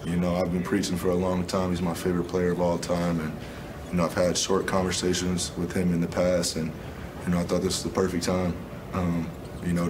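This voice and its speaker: Man in his twenties, athlete, press conference, low-key, monotone, background noise. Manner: low-key, measured, frequent "you know" and "um". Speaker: basketball player at postgame press conference. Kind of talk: postgame press conference answers. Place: press room.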